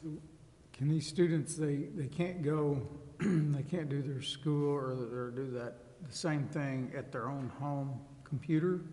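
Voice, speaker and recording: Higher, mellow male voice in a hall. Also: fairly even intonation, a little slow. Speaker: meeting participant asking questions. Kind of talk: informal questioning at a public meeting. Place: large indoor council chamber. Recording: reverberant meeting hall.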